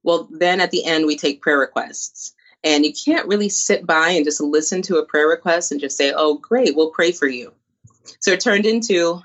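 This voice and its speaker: An upbeat woman tells her story as an interview guest. She talks with quick asides, and her voice comes through slightly muffled. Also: low-pitched. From born in Colombia, raised in New Jersey. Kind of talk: casual interview conversation.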